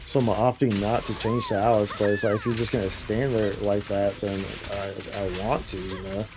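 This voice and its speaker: A man's voice: lowish voice, fairly even intonation, normal speed, over music. A man streaming a game with casual, slangy live commentary, often repeating his own phrases.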